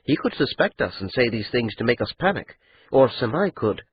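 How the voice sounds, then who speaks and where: A man's voice: medium pitch, big pitch swings, a little hiss or hum, natural voice. A man doing a resentful young nobleman. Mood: dismissive. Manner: slow bitter drawl. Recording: dull lo-fi recording.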